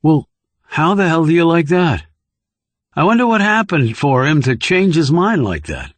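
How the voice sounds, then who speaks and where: A man's voice: fairly high pitch, big pitch swings, moderate pace, dry recording. Guy narrator doing a blunt skeptical spy guy, wry and probing, thriller audiobook, dry sound. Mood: stunned, wry. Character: blunt, skeptical male government operative. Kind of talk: audiobook character dialogue.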